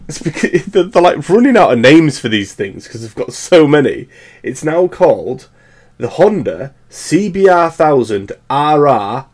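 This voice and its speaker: A man in his early thirties talks casually as a co-host. He rambles, leans on fillers and often laughs at his own jokes. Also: medium pitch. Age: 30s. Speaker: podcast co-host. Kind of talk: casual chat.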